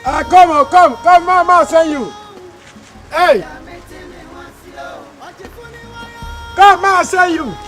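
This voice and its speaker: High-pitched, blustering Nigerian man, played by an actor. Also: lively intonation, moderate pace, faint music behind, close to an everyday voice. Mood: mocking, shouting. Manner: blustering, challenging, rhetorical questions. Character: proud, menacing Nigerian man disputing bought land.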